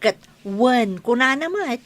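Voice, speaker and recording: A woman's voice: fairly high pitch, animated, slow pace, fairly bright tone. A woman playing an earnest, gossiping young woman in an Ilocano radio drama. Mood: insistent.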